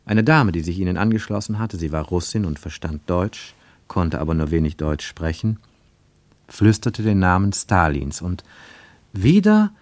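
Male radio-drama narrator, lowish pitch, measured and literary, dry studio read. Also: big pitch swings, darkish tone, dry recording. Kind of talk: scripted radio-play narration.

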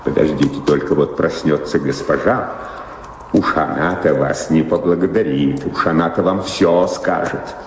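An actor playing an indignant, scolding manservant of a noble house in a radio drama. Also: male voice, very deep voice, normal speed. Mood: grumbling reproach. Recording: reverberant hall sound with faint noise.

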